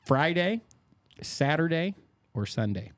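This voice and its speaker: A man's voice: a little high, very animated, slow pace, no room sound. A man hosting a podcast, running a casual quiz with teasing, playfully bossy banter. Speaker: podcast host.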